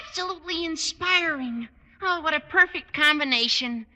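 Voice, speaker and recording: An overeager American high school boy from a vintage radio comedy. His voice is extremely high, squeaky and piercing, cracking with florid enthusiasm. It sounds like an old broadcast. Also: normal speed, sharp tone, full character voice. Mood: eager, gushing. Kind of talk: 1950s American radio situation comedy acting.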